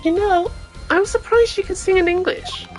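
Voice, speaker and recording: A woman voicing an excitable, teasing teenage girl from a video game. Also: very high voice, moderate pace, faint music behind, pitched above the performer's own voice, low-quality recording. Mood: excited, admiring.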